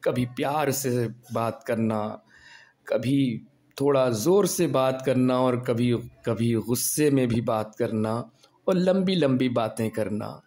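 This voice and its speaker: A hoarse man recording at home, giving an impassioned, preachy monologue that builds to angry rhetorical questions. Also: medium pitch, takes it slowly, a little mellow. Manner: preachy, rhetorical, rising to anger. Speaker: video blogger.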